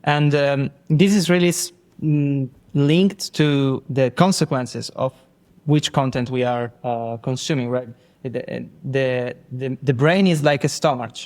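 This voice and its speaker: A man with an Italian accent, a software engineer guest, speaking hesitantly with many fillers and repeats. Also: medium pitch, steady tone, a little slow. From Italy. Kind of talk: casual interview talk.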